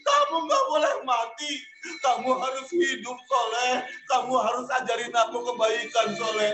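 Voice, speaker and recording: A desperate young boy pleads and wails in a very high, bright, strained voice, as a male storyteller puts him on. He cries out and shouts prayers between sobs. The sound rings in an echoey room with faint music underneath. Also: animated, moderate pace, full character voice. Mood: sobbing. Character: remorseful young boy sobbing over dying friend.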